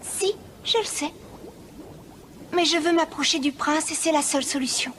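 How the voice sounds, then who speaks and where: A woman playing a gentle, lovestruck young mermaid princess in an animated film, earnest and pleading. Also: high voice, moderate pace, a little hiss or hum. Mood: earnest, determined. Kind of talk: French-language animated film voice acting.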